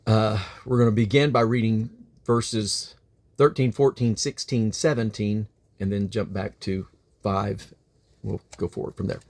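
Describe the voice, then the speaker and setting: A man with a somewhat high-pitched voice reads scripture aloud. His delivery is solemn and deliberate, with firm emphasis on the phrases as he goes. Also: gravelly. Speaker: Bible reader.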